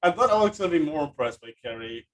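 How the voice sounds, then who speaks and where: A man's voice: fairly high pitch, sing-song, quick pace, poor audio. A man hosting a podcast in a casual, enthusiastic and rambling conversational way. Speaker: podcast host. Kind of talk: casual chat.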